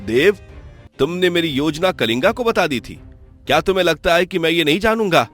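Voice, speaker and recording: A man's voice: on the high side, sing-song, brisk, fairly bright tone, faint music behind. A man playing a noble young prince in a cartoon moral story, speaking earnestly and in a declamatory way. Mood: stern, principled.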